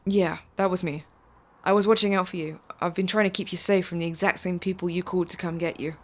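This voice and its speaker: An actress in an audio drama playing a mysterious woman driver who is calm, insistent and reassuring. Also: fairly low pitch, fast talker, natural voice.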